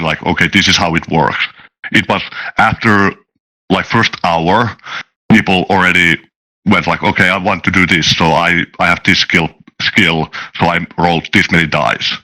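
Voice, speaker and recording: Very deep-voiced, halting man. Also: bright, forceful tone, low-quality recording. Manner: hesitant, halting delivery with searching pauses. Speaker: game master and co-designer of a tabletop RPG. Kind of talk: interview talk about game design.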